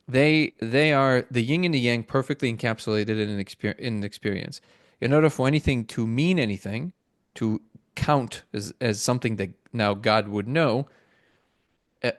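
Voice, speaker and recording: A middle-aged man from Russia who later moved to Israel, answering reflectively as an interview guest, haltingly restarting phrases as he thinks aloud. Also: medium pitch, no echo, studio quality. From born in Moscow, Russia, moved to Israel.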